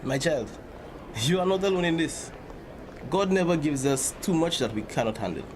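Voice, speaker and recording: A man's voice: on the high side, lively intonation, moderate pace, close to an everyday voice. Man playing a kindly priest, noisy location sound. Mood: reassuring. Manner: gentle, reassuring, fatherly. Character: kindly, older-generation priest gently counselling a parishioner. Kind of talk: dramatic acted dialogue.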